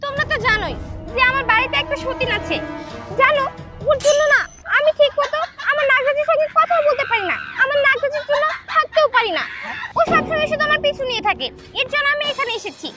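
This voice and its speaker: A woman's voice: very high voice, animated, fast, loud music behind the voice. A woman playing a plaintive, lovelorn serpent wife in an amateur comedy fairy-tale drama, calling out and complaining. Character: lovelorn serpent wife pining for her snake king, complaining of co-wife. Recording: very noisy outdoor location sound.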